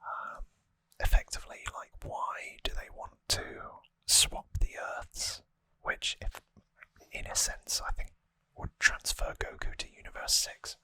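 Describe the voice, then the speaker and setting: ASMR host: unvoiced, sibilant-bright whisper, casual rambling delivery, very dry close-mic sound. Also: a little slow, no echo, poor audio. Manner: hushed, relaxed, rambling casual explanation. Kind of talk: whispered ASMR trivia recap. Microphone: close microphone.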